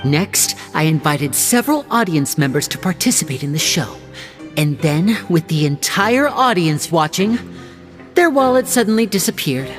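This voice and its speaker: A woman's voice: lowish voice, lively intonation, moderate pace, natural voice. A woman voicing a sly, composed young male stage magician in a video game, articulate and breezy like a showman. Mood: breezy storytelling. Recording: music behind the voice.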